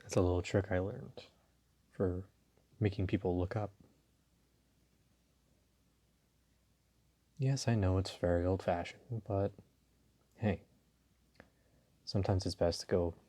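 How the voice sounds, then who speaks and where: A man playing a suave, dominant, flirtatious mafia boss in an intimate audio roleplay, courteous and teasing, with a low husky voice. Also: steady tone. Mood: seductive, amused. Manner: slow, teasing, courteous, softly chuckling.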